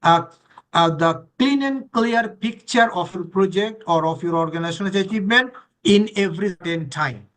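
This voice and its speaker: A man's voice: high-pitched, moderate pace, fairly bright tone. A man leading a training session, explaining in an emphatic, list-like way and checking that listeners follow. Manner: emphatic, repetitive listing, checks understanding with questions. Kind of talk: explanatory teaching to online participants.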